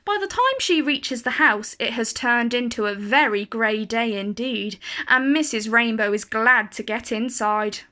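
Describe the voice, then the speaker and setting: A woman reading a children's picture book aloud in an expressive, carefully phrased storytelling style. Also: fairly high pitch, unhurried, hardly any pauses.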